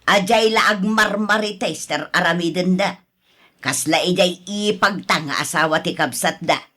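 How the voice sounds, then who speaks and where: An actress playing a frank relative who reasons and reproves in an Ilocano radio drama, with an Ilocano accent. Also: female voice, on the low side, a little slow, pushed and bright. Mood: persuading.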